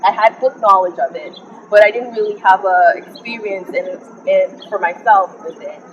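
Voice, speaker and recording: A young woman from the Bahamas, sharing her personal faith story in an interview, earnest and reflective with frequent pauses. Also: mid-range voice, muffled recording, slight background noise. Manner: earnest and reflective, often pausing with ums. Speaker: interview guest. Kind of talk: personal testimony in conversation.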